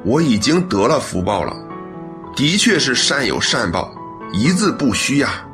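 An upright young policeman in a radio drama. He is sincere and earnest, with a mid-pitched, lively swinging intonation over faint music. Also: a little slow.

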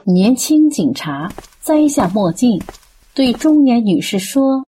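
A woman's voice: on the high side, very animated, slow pace, warm tone, a little hiss or hum, low-quality recording. A woman acting as the storyteller of a radio play, giving dramatic scripted narration.

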